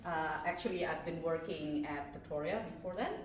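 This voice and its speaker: A woman's voice: low voice, fairly quick, slight background noise. A middle-aged woman from Indonesia with an Indonesian accent, a maths professor telling her story on a panel in an earnest, chatty way with many fillers. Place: festival panel room. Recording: echoey room, distant mic.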